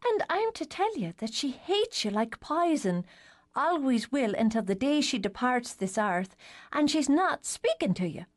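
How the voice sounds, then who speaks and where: A woman voicing a soft-hearted, eager young teenage girl with a prim, lilting manner in a storybook reading. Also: high voice, big pitch swings, a little slow, pitched above the performer's own voice. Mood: gleeful.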